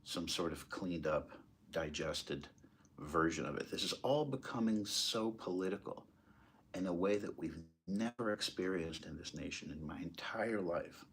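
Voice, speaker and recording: An American man giving impassioned, off-the-cuff commentary in a halting, emphatic way. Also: low-pitched. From United States, moved there as a small child. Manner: halting, emphatic, rhetorical questions. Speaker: political commentator.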